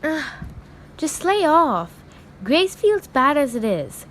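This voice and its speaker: A woman's voice: high-pitched, very animated, slow, slight background noise, full character voice. A teenage girl playing a blunt, cynical young factory worker in a radio drama, dismissive and matter-of-fact. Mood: exasperated. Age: teenage high school student.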